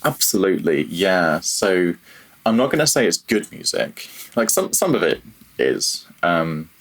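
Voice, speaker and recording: British man, middle-aged, very deep voice, informal rambling conversation, trails off mid-thought. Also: big pitch swings, unhurried, creaky, a bit bright. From United Kingdom. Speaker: interview guest, freelance audio programmer. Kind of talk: casual chat.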